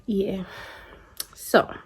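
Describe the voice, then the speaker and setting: A woman's voice: extremely slow, slight background noise. A young woman vlogger, congested from a cold, chatting casually to camera with many restarts. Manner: chatty, stops and restarts, rambling. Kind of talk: casual vlog chat to camera.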